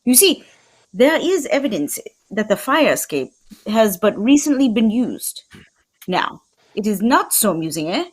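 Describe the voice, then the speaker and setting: A woman playing a fussy, courtly Belgian male detective in a radio mystery, speaking formally and deliberately, with theatrical precision. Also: mid-range voice, very animated, slightly rough. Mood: shrewd, assured. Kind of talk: old-time radio mystery script reading.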